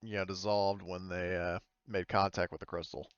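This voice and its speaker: A man acting as game master of a tabletop roleplaying game, improvising casually with halting explanations. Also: low voice, level tone, smooth, dry recording, highs cut off.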